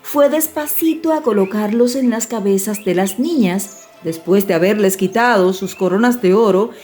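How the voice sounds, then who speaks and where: A woman storyteller giving an expressive, measured narration of a dramatized fairy-tale audiobook. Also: mid-range voice. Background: music.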